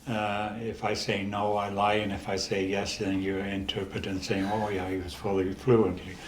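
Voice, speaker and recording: A man in his eighties with a Dutch accent, telling stories in a casual interview, halting with many restarts and pauses. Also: lowish voice, little pitch movement, echoey room, a little hiss or hum. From the Netherlands. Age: 80s. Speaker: interview guest.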